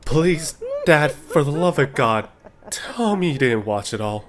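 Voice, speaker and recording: A man's voice: a little high, lively intonation, unhurried, a bit bright. A man playing an earnest, easily embarrassed young adult son in an audio drama. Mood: mortified, confused.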